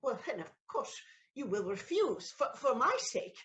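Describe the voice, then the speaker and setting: A high-voiced noblewoman from a comic period audio play. She is romantic and scheming, and her delivery swings dramatically up and down, breaking into exclamations and pauses. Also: sing-song, fairly bright tone, muffled recording. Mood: indignant. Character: theatrical noblewoman countess, scheming, romantic, betrayed wife. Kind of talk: stage comedy audio play acting.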